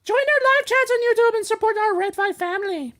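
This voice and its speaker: Very high-pitched joking New Yorker. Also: female voice, brisk, fairly bright tone. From New York. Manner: joking, rambling, stumbles over read-out promos. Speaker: podcast co-host reading network news. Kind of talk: casual podcast chat. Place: indoor studio with teleprompter.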